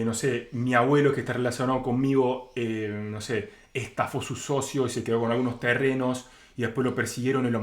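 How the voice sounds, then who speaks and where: A man with an Argentine accent giving an informal explanatory talk as a spiritual teacher, in a conversational way with acted-out first-person examples. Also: mid-range voice. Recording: roomy, echoing sound.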